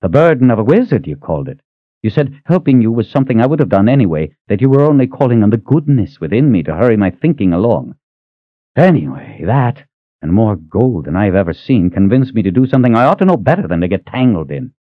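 A man voicing a big gruff middle-aged male coachman who sounds weary and patient. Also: fairly low pitch, very animated, fast, no room sound, muffled recording, voice pushed up.

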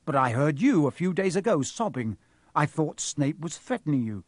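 A man's voice: on the high side, big pitch swings, moderate pace, no room sound, voice pushed up. A man narrating a storybook, voicing a shocked young boy hero who speaks in an earnest, urgent, questioning way.

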